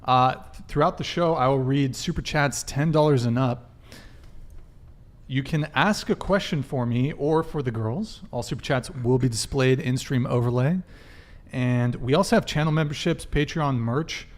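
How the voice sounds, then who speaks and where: A man's voice: medium pitch, normal speed. A man hosting a live talk podcast, casually addressing his viewers. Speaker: podcast host.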